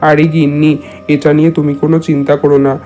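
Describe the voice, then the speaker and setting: A man's voice: a little high, little pitch movement, fairly quick, mellow, faint music behind, close to an everyday voice. A man playing a kindly, reassuring village landlord and father in a cartoon. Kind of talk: cartoon character acting in a Bengali moral tale.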